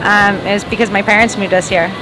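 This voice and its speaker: A woman's voice: medium pitch, fairly even intonation, brisk, over music, rough recording. A woman in her thirties chatting casually, full of "like" and "you know". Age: 30s. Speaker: interviewee, business owner. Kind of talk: casual chat.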